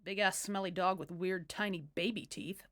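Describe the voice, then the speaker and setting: A woman's voice: lowish voice, steady tone, moderate pace, fairly bright tone, close to an everyday voice. A woman voicing a merchant's blunt, sulky young daughter in a fairy tale, sarcastic and slangy. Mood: scornful.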